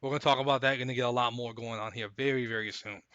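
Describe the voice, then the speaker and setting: A man hosting a live stream, chatting casually and recapping fights in a rambling, hyped way while his voice goes hoarse. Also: mid-range voice, steady tone, rapid-fire, pushed and bright, no echo, highs cut off. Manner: rambling, hyped, repeats himself. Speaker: stream host.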